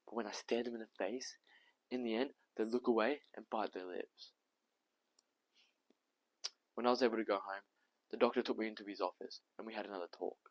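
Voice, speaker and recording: A man playing a bitter, frank, sick young boy in an emphatic, halting stage monologue. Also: lowish voice, steady tone, close to an everyday voice. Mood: bitter, angry, then uneasy, mocking. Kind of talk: dramatic stage audition monologue. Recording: dull, roomy echoing sound.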